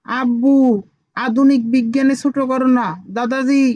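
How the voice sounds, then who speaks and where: A cheeky little cartoon boy, voiced by an actor, speaks high and fairly evenly like a know-it-all. He sounds sure of himself as a tiny village doctor, over clear background noise. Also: flat delivery, moderate pace, close to an everyday voice. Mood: cocky. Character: cheeky, cocky five-year-old village boy playing doctor. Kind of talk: comic cartoon dialogue.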